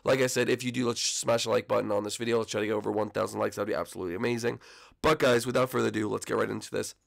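A young man hosting a gaming channel, talking casually with a hoarse, froggy voice and lots of rambling fillers. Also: lowish voice, steady tone, racing. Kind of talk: casual video commentary.

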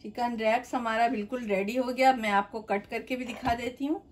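A woman's voice: a little high, fairly even intonation, a little fast. A middle-aged woman hosting a cooking channel, talking viewers through a recipe step by step in a chatty, direct way from her home kitchen.

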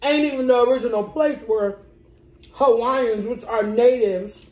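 A woman's voice: on the high side, a little slow, darkish tone. A young woman with a Southern US accent, chatting sassily and teasingly while eating at a kitchen table. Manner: sassy, drawling, teasing. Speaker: mukbang co-host. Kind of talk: casual banter while eating. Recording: echoey kitchen.

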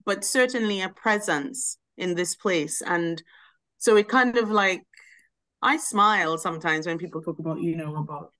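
A woman's voice: on the low side, moderate pace, fairly bright tone. A woman speaking on a video call, explaining history casually with lots of hedging fillers. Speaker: webinar speaker.

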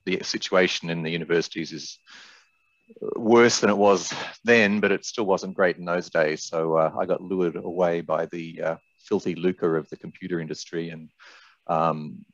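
A man's voice: deep voice, fairly even intonation, normal speed. A middle-aged man with an Australian accent, giving a conversational presentation over a video call with wry, self-deprecating asides. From Brisbane, Australia. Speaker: webinar presenter, sales director. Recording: muffled, roomy video-call audio.

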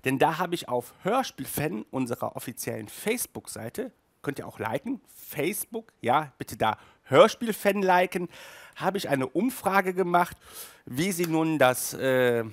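Man with a creaky, chatty voice. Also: a little high, very animated, measured pace. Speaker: host of an audio drama review show. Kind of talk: casual video review. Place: small furnished room indoors. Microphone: headset.

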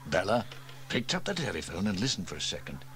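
A man narrating a children's storybook, lively, switching into higher voices for the characters. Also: fast. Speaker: audiobook reader. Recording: faint tape hiss from old cassette.

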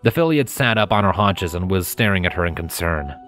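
A man narrating a scripted story in a measured, expressive storytelling manner, close to the microphone. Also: sits low, faint music behind.

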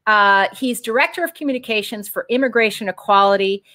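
A middle-aged woman hosting a political discussion show over a video call, who gets excited and jumps up into a higher voice. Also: a little fast. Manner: excitable, pitch jumps high when excited. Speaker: show host. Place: home room with bookshelves.

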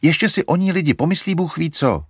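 A man's voice: a little high, lively intonation, husky, no echo, studio quality, pitched above the performer's own voice. A man voicing a fussy, fretful middle-aged mother who coaxes and hesitates. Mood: flustered coaxing. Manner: flustered, coaxing, hesitant.

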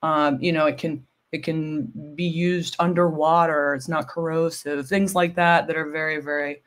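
A middle-aged woman, a bit hoarse from a cold, explaining textile materials on a video call, often checking with "right?". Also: low-pitched, steady tone, moderate pace. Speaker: guest expert on textiles. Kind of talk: casual livestream chat. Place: home room with window blinds.

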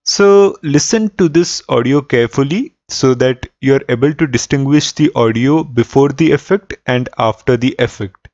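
A man's voice: mid-range voice, moderate pace, few pauses, darkish tone, no room sound. Male tutorial host, methodical step-by-step narration, dry close sound, slightly dull recording.

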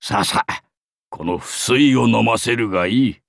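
A man voicing a wry old mystic in an anime game, raspy, with archaic, theatrical speech. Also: mid-range voice, measured pace, fairly bright tone, no room sound, clean studio sound. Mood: helpful, amazed. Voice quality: raspy, creaky. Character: wry old bearded mystic offering medicine. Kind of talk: anime game character acting.

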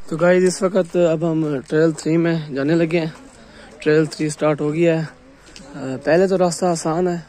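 A man's voice: fairly high pitch, darkish tone, slight background noise. A young man with a Pakistani Urdu accent, chatting casually to the camera as he climbs a wooded hill trail, recorded on a handheld phone outdoors. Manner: chatty, walking and climbing while talking. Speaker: vlogger. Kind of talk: casual hiking vlog narration.

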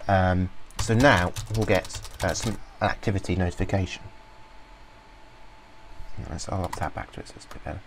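A man casually talking through a software demo, rambling with many fillers and restarts. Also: low voice, normal speed, long pauses, slight background noise. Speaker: software reviewer. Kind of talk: informal screen-recorded walkthrough.

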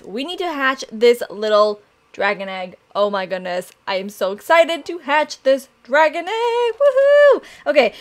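A young woman hosting a Minecraft let's play, chatting excitedly to viewers while her voice is raspy from allergies and she keeps clearing her throat. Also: sits high. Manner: chatty, excitable, addresses "you guys" often. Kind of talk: casual gameplay commentary.

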